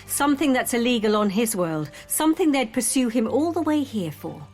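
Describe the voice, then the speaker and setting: Sharp young female investigator, urgent, swooping high voice, audio drama with faint music. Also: very animated, fairly quick. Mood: urgent, suspicious.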